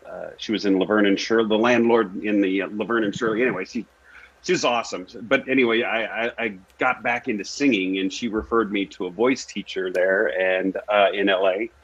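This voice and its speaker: A man's voice: fairly low pitch, a bit bright. A man, an actor and singer, telling his story casually as an interview guest. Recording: echoey room, dull lo-fi audio.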